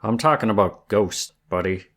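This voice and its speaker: A man's voice: fairly low pitch, expressive, moderate pace, a bit bright. A man voicing a flirty, friendly werewolf guide in an ASMR roleplay, with a folksy, teasing Southern US drawl. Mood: calm, ominous. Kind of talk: ASMR roleplay, intimate one-sided dialogue.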